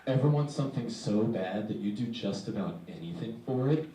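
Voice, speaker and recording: A man's voice: fairly low pitch, lively intonation, a little fast, dark tone, lightly acted. A man voicing a seductive woman announcer in an advertisement, sultry and salesy, recorded in an echoey room at a distance with faint noise. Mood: sultry sales pitch. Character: seductive woman voice-over in a radio-style advertisement.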